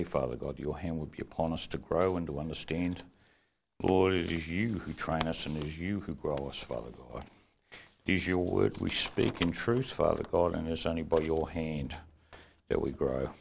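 A man with a croaky voice leading a congregation in earnest prayer and teaching. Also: very deep voice, a little mellow. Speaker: congregation leader.

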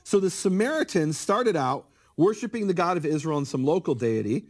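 Middle-aged male guest scholar, slightly high voice, animated and lecturing. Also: fairly quick. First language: English. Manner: animated, acts out quoted speakers. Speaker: guest scholar of biblical Hebrew. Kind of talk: conversational interview, lecturing.

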